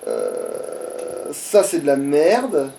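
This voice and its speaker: A man's voice: mid-range voice, huge pitch swings, very slow, gravelly, dark tone, distant mic, soft background music. A man chatting casually as he plays a game, crude and joking, with plenty of swearing. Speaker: let's player. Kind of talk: casual gaming commentary with a friend.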